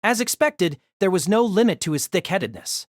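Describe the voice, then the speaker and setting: A woman's voice: medium pitch, very animated, a little fast, no room sound, studio quality. A woman reading scripted narration of a light novel as the narrator of an audio drama.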